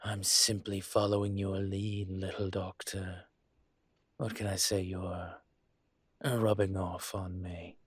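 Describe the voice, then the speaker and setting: A man acts out a dragon crime lord for a roleplay audio, composed and courteous. The voice is low-pitched and husky, with very unhurried, deliberate phrasing. He sounds protective and politely in control. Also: little pitch movement, no room sound, exaggerated voice. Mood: fond, teasing. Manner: measured, polite, deliberate with pauses. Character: calm, courteous male dragon mafia boss, protective. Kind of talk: scripted roleplay audio monologue to listener.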